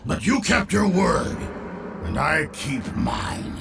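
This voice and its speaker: A proud monster king, slow and gravelly, swooping wildly in pitch as he declaims his threats. He is blunt, boastful and hungry, an audio drama creature heard over loud music. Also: sing-song, heavily acted. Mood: proud warning. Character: proud, menacing monster king who devours his enemies.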